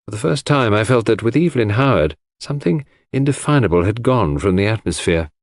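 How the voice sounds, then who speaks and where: A man's voice: on the low side, lively intonation, a little fast, dry recording, clean studio sound. A man reading scripted first-person literary narration as an audiobook narrator.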